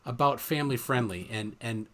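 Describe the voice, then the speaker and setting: A man hosts a casual live-stream chat, pausing often and filling gaps with ums and uhs as he searches for what he wants to say. His voice sits at an ordinary middle pitch. A faint noise hangs behind him. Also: fairly bright tone. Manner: halting, searching for words with many fillers. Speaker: host.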